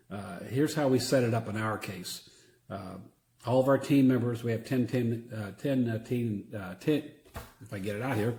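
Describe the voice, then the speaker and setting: Man, medium pitch, casual reviewer, unscripted explanation, halting plain delivery, echoey indoor recording. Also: mellow. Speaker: product reviewer; church security team member. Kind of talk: casual unscripted product demonstration. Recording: roomy echo.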